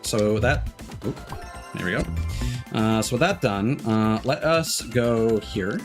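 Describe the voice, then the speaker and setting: A man's voice: lowish voice, expressive, slow pace. Man streaming a game, music behind. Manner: thinks aloud in loose, candid asides. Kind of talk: casual live gameplay commentary.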